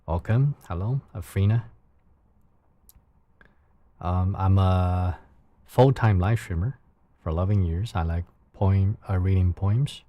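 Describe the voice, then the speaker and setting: Slow, deep-voiced Chinese-accented man. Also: mellow, no room sound. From China. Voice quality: soothing. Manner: careful, searching second-language phrasing. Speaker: live streamer. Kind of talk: casual livestream chat with viewers.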